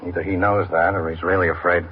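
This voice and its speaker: A deep-voiced male detective lieutenant, played by an actor in a classic police radio drama. He is laconic and understated, heard through a dull, slightly hissy old recording. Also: level tone, quick pace, close to an everyday voice. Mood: dry, resigned.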